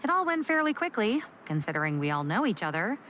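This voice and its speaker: A woman's voice: mid-range voice, very animated, fast talker, fairly bright tone, a little hiss or hum. A woman playing a friendly, businesslike female tournament receptionist in a video game, speaking politely.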